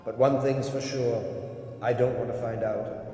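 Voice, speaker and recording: A man voicing a cautious adult rat catcher who broods about dark cellars, his talk dropping to hushed tones. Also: medium pitch, fairly even intonation, a little slow, soft background music, close to an everyday voice. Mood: wary. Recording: echoey reverberant hall.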